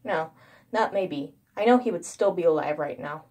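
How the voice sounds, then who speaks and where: Low-pitched woman narrating horror. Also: expressive, moderate pace, a little mellow. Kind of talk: first-person creepypasta narration.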